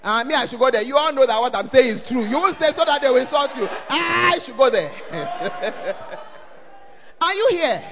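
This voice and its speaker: A man with a Ghanaian English accent preaching a lively sermon as pastor, often calling out to the congregation to check that they are listening. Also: very high voice, normal speed. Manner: call-and-response, often asks the crowd "are you there?". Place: church hall. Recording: dull, echoing church hall recording.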